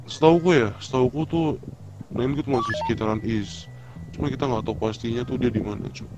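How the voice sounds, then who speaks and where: A man's voice: medium pitch, a little fast, noisy background. An Indonesian man improvising casual in-character roleplay chat in a hesitant, informal way. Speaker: player in an online crime roleplay. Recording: dull voice chat under music. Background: music.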